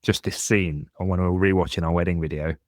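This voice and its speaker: A man's voice: low-pitched, fairly even intonation, a little fast, dry recording. A man hosting a podcast in casual chat, friendly and chatty. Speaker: podcast host.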